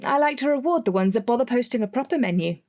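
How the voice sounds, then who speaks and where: A woman's voice: on the high side, lively intonation, fast talker, a little mellow, lightly acted. An actress with a British accent playing a blunt, sweary young woman in a naturalistic audio drama. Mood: wistful, grumbling. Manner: informal, exasperated, sweary. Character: Rachel, blunt, sweary young woman missing her partner.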